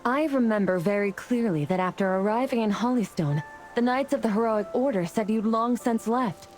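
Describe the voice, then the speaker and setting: A woman's voice: on the high side, normal speed, darkish tone, soft background music, close to an everyday voice. A woman playing a noble, resolute celestial being in a game story, speaking formally and with composure. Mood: firm, puzzled. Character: noble, solemn, resolute female celestial warrior. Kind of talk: scripted game voice acting.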